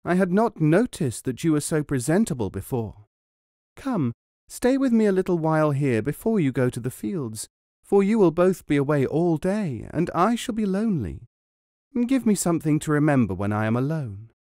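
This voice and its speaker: A man reading a story, putting on the high voice of a scheming young wife, coaxing and accusing. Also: sing-song, moderate pace, dark tone, no room sound, clean studio sound. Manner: coaxing, then wailing accusation.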